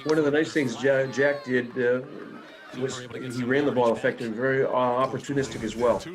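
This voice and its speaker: An older man, a football coach, giving halting, casual commentary over game footage with many fillers. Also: medium pitch, steady tone, a crowd in the background.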